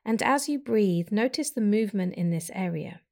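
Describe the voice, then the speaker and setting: A woman guiding a breathing meditation with slow, soft, soothing instructions and long pauses. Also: mid-range voice, animated, vocal fry. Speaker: meditation guide.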